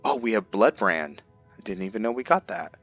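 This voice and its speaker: A man doing casual roleplay commentary over a video game, thinking aloud in a halting way. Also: on the low side, expressive, a bit bright, dry recording, highs cut off. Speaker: gaming video host. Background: game music.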